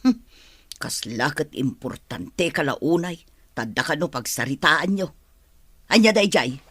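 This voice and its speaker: Stern Ilocano older woman, bright and dry. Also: low voice, lively intonation, measured pace, husky, no room sound, full character voice. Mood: scolding, prying. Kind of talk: Ilocano radio drama acting.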